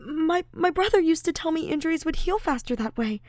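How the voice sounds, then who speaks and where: Woman voicing a sweet, high-pitched earnest boy. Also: fairly quick, dry recording, clean studio sound. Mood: flustered, apologetic. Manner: soft-hearted, eager, expressive. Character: sweet, earnest, gentle teenage boy, apologetic and giggly. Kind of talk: fan fiction audiobook character voice.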